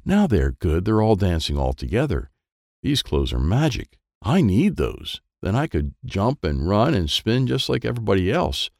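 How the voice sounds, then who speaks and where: A man voicing an excitable, wistful small boy who narrates a children's storybook in simple, wide-eyed phrases. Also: lowish voice, normal speed, gravelly, no echo, studio quality, full character voice. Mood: eager, wistful. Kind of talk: first-person present-tense children's storybook narration.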